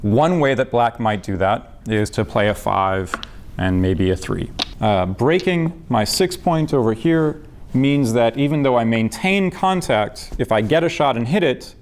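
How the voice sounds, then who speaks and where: A man teaching backgammon, walking step by step through a position from one of his own matches. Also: medium pitch. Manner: methodical, patient, with fillers. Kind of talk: explanatory game lesson.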